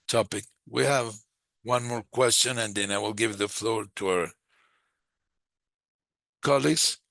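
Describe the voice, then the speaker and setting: A man giving a live interpretation of a webinar discussion, speaking in halting, phrase-by-phrase chunks. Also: on the low side, bright, forceful tone, no echo. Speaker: simultaneous interpreter.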